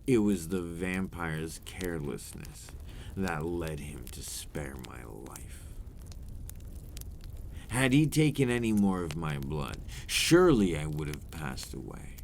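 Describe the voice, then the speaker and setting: Seductive, menacing male vampire played by a man, very low register, unhurried, remorseful ASMR monologue with formal, theatrical delivery. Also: slight background noise, heavily acted. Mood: haunted, brooding. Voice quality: deep, breathy at times. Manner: slow, deliberate, theatrical, formal wording. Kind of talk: ASMR roleplay, dramatic monologue.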